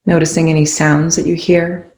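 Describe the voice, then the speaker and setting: A calm, soothing voice leading a guided meditation in gently spaced phrases. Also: mid-range voice, moderate pace. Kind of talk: guided meditation, soft instruction. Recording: roomy, echoing indoor sound.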